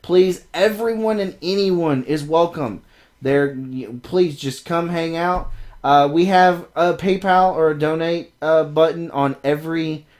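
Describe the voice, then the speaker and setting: A man co-hosting a podcast in casual chat, sarcastic and teasing, with loud outbursts. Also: a little high, a little slow. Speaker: podcast co-host.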